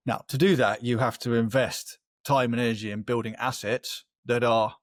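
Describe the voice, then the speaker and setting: A man's voice: mid-range voice, no room sound, studio quality. A man giving a conversational, explanatory webinar talk as a marketing expert, often checking in with a short tag question.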